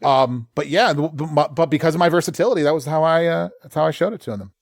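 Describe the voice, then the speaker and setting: A man, a voice actor, chatting casually in an interview and telling stories with lively quick voice changes. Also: fairly high pitch, creaky, no room sound, clean studio sound. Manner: animated storyteller, slips into quick voices. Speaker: voice actor guest.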